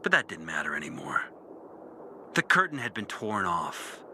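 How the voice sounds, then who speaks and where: A disillusioned ex-priest recording a game audio journal. His low voice is sharp and forceful, swinging widely as he broods through a bitter confession. He speaks deliberately, leaving dramatic pauses. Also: wildly swooping pitch, a little hiss or hum, heavily acted. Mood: bitter, resolute. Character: disillusioned former priest, bitter and intense, recounting his lost faith. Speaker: character narrating an audio journal. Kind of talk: dramatic video game monologue.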